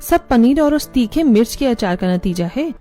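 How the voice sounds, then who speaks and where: A woman's voice: a little high, lively intonation, moderate pace, dark tone, soft background music, natural voice. A woman voicing a fed-up, scheming young daughter-in-law in a cartoon, complaining and exasperated.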